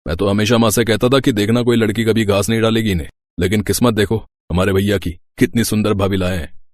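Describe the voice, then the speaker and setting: A man's voice: fairly low pitch, fairly even intonation, fast, dry recording, studio quality, natural voice. A man playing a mischievous young village brother-in-law in a storybook tale, teasing and pleading. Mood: teasing, cheerful. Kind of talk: storybook acting.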